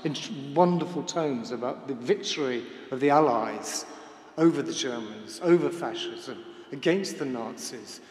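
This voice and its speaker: A man's voice: medium pitch, animated, a little mellow. Older Englishman speaking in an echoing church. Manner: measured, formal, literary phrasing. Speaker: memorial speaker. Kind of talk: prepared spoken tribute. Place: large church, speaking to a gathering. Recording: echoey church hall with long reverb.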